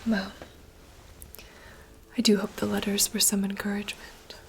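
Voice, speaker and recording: A woman in a gentle, reassuring ASMR roleplay whisper, offering help as a friend. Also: medium pitch, monotone, normal speed, creaky, slight background noise. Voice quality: soft unvoiced whisper.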